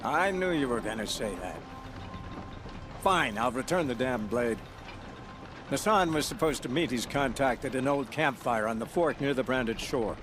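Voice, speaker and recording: An actor playing a wry, grudging ally who gives directions and warnings in a video game. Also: male voice, medium pitch, animated, normal speed, over music, lightly acted. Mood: grudging, cautioning. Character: grudging, cautionary male ally giving directions. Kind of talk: cinematic video game dialogue.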